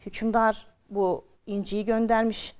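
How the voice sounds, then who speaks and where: A woman's voice: fairly high pitch, flat delivery, a little slow, a little mellow, smooth, natural voice. An eager storybook craftsman with dreams of riches, voiced by a woman. He sounds obliging and wishful. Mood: hopeful, dreamy.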